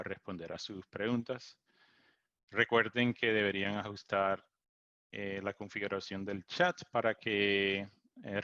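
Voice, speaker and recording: A low-voiced man, a native English speaker, presents a technical webinar over a video call. He talks slowly, with frequent fillers and restarts. The sound is dry and a little muffled, as through a laptop mic. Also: fairly bright tone, dry recording. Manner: hesitant, many fillers and restarts. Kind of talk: online tech presentation. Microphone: computer mic on a video call. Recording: dull lo-fi video call audio.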